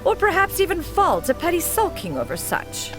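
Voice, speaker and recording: Haughty ancient female spirit, pompous archaic phrasing, lively rising and falling pitch, game music under. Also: moderate pace, full character voice. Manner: pompous archaic speech, calls herself "one".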